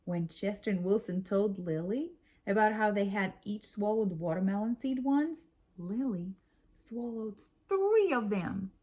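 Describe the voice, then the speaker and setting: A woman reading a children's story aloud in an expressive, measured storytelling manner. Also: medium pitch. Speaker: storybook reader.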